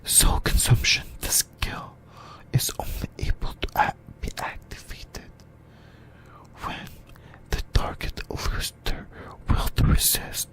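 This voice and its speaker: This is an ASMR host reading an explainer in a breathy whisper. The pace is very slow and halting, with phrases often repeated or corrected along the way. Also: fairly bright tone. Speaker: host of an anime ASMR channel.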